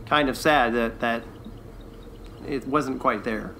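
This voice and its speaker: Bright-voiced, hesitant man on podcast. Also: mid-range voice, slow, slight background noise. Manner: hesitant, agreeable, conciliatory. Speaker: podcast co-host. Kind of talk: casual review discussion.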